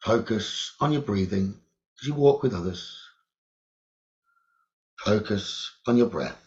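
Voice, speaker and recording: A man leading a guided meditation, speaking slowly and soothingly, repeating his gentle instructions with long pauses. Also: fairly low pitch, lively intonation. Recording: dull lo-fi recording.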